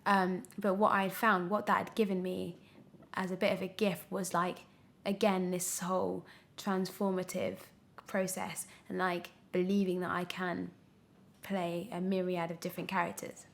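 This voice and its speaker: A woman's voice: fairly low pitch, level tone, normal speed, gravelly. A British woman in her twenties giving a casual podcast interview as a young actor, emphatic and often breaking off to restart her thought. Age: 20s.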